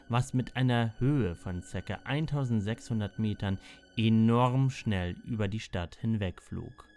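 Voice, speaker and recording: A man reading scripted documentary narration as a narrator. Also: on the low side. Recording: clearly noisy background.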